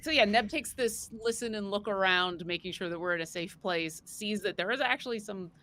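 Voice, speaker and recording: A woman's voice: medium pitch, a little fast, sharp tone. A woman playing a tabletop game, talking casually as she describes what her character does.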